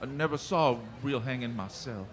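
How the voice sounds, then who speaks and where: An actor playing a simple, scrawny drifter with a rural American accent, hesitant and folksy, in a western radio drama. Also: male voice, medium pitch, a little fast, a little hiss or hum, lightly acted. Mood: naive, unguarded. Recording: music behind the voice.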